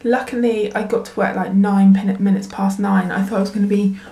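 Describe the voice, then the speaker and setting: A woman's voice: medium pitch, fairly even intonation, fairly quick, warm tone, breathy edge, no echo. A woman, chatting casually in a book vlog, full of ums and likes, sniffly and congested from a cold. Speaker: book vlogger. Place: work bathroom.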